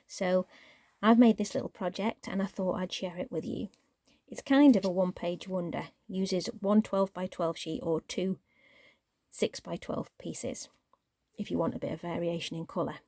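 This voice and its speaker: A woman hosting a crafting channel, chatting casually through her project, a little croaky and sometimes dropping to a near-whisper. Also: fairly low pitch, moderate pace, no echo, studio quality. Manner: chatty, relaxed show-and-tell, drops to near-whisper while handling paper. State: croaky voice, clearing throat.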